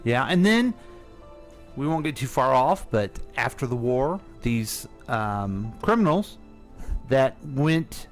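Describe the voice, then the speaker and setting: A man's voice: mid-range voice, sing-song, slow pace, soft background music. A man hosting a radio chat in a folksy, talkative way, speaking to listeners as folks. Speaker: radio host.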